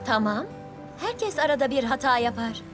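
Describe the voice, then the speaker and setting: A woman's voice: sits high, animated, normal speed, music in the background. A woman voicing a patient cartoon teacher bus. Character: kind patient female teacher vehicle. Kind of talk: cartoon dubbing.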